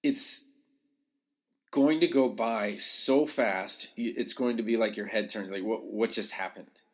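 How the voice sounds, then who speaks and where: A man with a slightly low voice speaks like a podcast host coaching his listeners. His delivery is conversational and informal, leaning on key words for emphasis as he gives practical advice. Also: steady tone, a little slow. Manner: conversational, emphatic, acts out short quotes.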